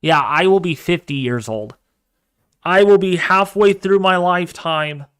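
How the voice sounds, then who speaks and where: Slow, excitable young man, smooth voice. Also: high voice. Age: 20s. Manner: excitable, thinks aloud, frequent exclamations. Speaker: livestream host. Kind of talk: casual livestream demo of astronomy software.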